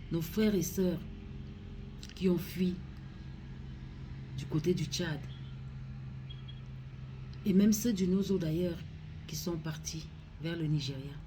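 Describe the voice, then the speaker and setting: A woman with a Cameroonian accent giving a solemn public address as a regional councillor, grave and pleading, in deliberate phrases. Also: on the low side, background noise.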